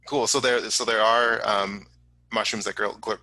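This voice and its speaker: Guy hosting a live q&a, crisp bright edge, super dry close sound, kinda halting. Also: on the low side, steady tone, no echo, poor audio. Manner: halting, reading out audience questions. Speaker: host of a science museum online program.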